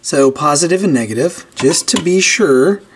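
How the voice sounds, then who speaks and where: A man's voice: a little high, normal speed. Man thinking aloud over noisy workbench. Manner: informal, thinking aloud while working. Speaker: host of a repair channel. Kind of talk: casual hands-on repair walkthrough. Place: indoors at a cluttered workbench. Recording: steady clear background noise with clicks.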